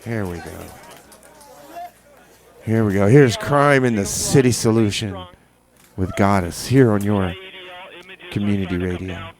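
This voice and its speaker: Rambling radio DJ man. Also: on the low side. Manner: halting, full of uh and repeated starts. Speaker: radio DJ and host. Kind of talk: rambling casual radio chat. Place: community radio studio.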